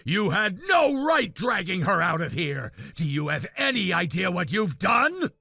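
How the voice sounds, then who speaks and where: A frantic groom shouts and pleads in self-defense, as an actor voices him. The voice is high, gravelly and bright, with slow, heavy delivery. The sound is dry and close. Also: very animated, no echo, heavily acted. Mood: angry. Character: desperate, angry young groom.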